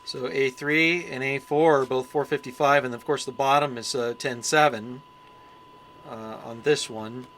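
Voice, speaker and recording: A man giving a casual, unscripted repair walkthrough, plainspoken and thinking aloud with pauses as he works. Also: medium pitch, steady tone, fairly bright tone, slight background noise. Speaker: hobbyist radio repairer presenting his channel.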